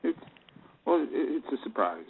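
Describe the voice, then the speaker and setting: A man acts a nervous boss in an audio play. The voice is creaky and mid-pitched, halting and evasive. It comes through a thin, narrow-band recording. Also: very animated, full character voice. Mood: flustered, evasive. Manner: hesitant, stammering. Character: flustered married bank boss, nervous and evasive. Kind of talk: audio drama acting.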